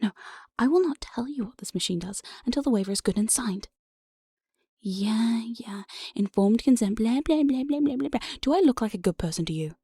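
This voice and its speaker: A woman playing an evil, playful demon jailer in an ASMR roleplay, breathy and often whispering, with a sly, mock-sweet menace, close on the microphone. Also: on the high side, lively intonation, moderate pace, warm tone, no room sound. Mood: mocking, exasperated. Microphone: close binaural studio microphone, dry.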